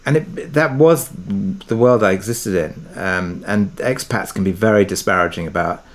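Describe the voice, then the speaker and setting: Male, British, middle-aged. Fairly low register, reflective guest discussing his work, feels his way through each thought. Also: normal speed. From Britain, partly raised abroad in Kuwait. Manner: hesitant, thoughtful, circling back to rephrase. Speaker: interview guest, audio drama creator. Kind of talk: reflective interview conversation.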